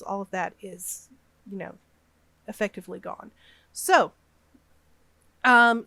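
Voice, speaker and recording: A woman's voice: mid-range voice, fairly bright tone, no room sound. A woman in her thirties with a slight cold, rambling hesitantly with many fillers in a casual voiceover over a game build. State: has a cold, a bit sniffly. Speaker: gaming YouTuber.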